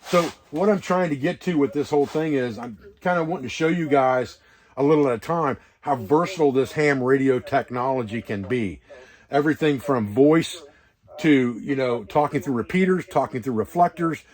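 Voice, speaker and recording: A man's voice: mid-range voice, moderate pace, a little mellow. A man with a mid-range voice hosts an instructional vlog in a casual register. He explains in a loose, rambling, practical manner, like an instructor walking viewers through a setup. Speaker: outdoor preparedness instructor and video host. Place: wall tent at a hunting camp.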